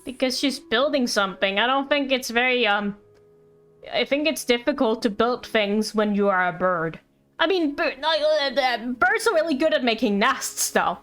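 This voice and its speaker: High-voiced woman streaming a game. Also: lively intonation, fairly bright tone. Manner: rambling, halting, playful. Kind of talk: casual live gameplay commentary.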